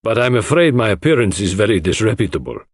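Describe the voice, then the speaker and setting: Man playing a low-voiced, apologetic gentleman doctor. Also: big pitch swings, fast, husky, no room sound, studio quality. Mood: rueful, polite. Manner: polite, rueful.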